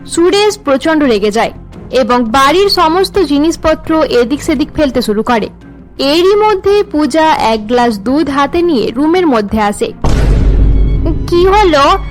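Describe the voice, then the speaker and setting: A woman narrates a cartoon story from a script. Her voice is high-pitched. Faint music plays behind the narration. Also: expressive, a little slow.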